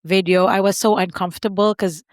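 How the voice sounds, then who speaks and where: A woman's voice: mid-range voice, steady tone, a little fast, no echo, studio quality. A woman with a non-native English accent hosting a podcast interview, who talks in long run-on sentences and keeps checking for agreement. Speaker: podcast host. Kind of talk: conversational interview.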